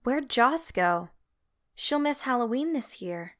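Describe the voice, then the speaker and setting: A woman acts out a chatty young girl ghost for a comic dub, wide-eyed and expressive. The voice sits high and swings widely in pitch at a slightly slow pace. She comes across as playful and curious. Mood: wistful. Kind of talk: fan comic dub voice acting. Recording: music behind the voice.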